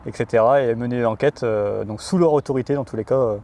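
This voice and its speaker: A man working as a rural police officer, explaining his job in an interview with frequent pauses and repeated words. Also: medium pitch, mellow. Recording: outdoor urban noise, vehicles behind.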